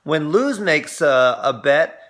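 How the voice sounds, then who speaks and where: A man reviewing an episode in casual chat, explaining things earnestly and haltingly as he goes. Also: fairly high pitch, very animated, fairly bright tone.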